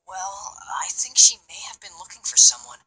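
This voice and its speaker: Nervous young college guy, acted by a man, game character, whispery and bright, timid. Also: sits high, lively intonation, moderate pace, pitched above the performer's own voice, exaggerated voice, rough recording. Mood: awkward, apologetic. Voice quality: breathy, whispery. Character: nervous young male college student, soft and anxious.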